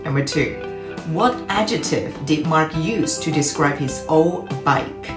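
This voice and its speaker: A woman's voice: sits low, lively intonation, a little slow. A woman hosting a children's read-aloud, explaining words and putting questions to the listener as she narrates. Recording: roomy echo, faint music behind.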